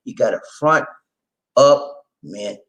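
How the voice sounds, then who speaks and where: Lively Charleston man hosting a livestream. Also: medium pitch, normal speed. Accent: Gullah Geechee, South Carolina Lowcountry. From Charleston, South Carolina. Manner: lively, preachy, laughs often, talks to viewers as y'all. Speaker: livestream host. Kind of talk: casual livestream chat.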